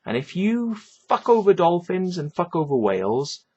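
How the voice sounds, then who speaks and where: A man's voice: sits high, big pitch swings, normal speed, darkish tone, husky, lo-fi sound. A man with a Welsh accent delivering a rambling, swearing rant, tagging lines with "yeah" and "right". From Wales. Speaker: vlogger. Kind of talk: ranting monologue to camera.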